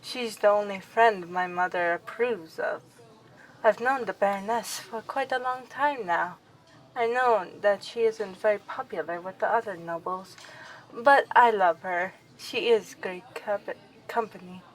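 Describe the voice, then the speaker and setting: A woman acts out a young, affectionate vampire princess in an ASMR roleplay. She has a mid-pitched voice and speaks in formal, noble phrases. Her delivery is expressive and confiding. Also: unhurried, lightly acted, poor audio. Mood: fond, excited. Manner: formal, expressive, aristocratic wording.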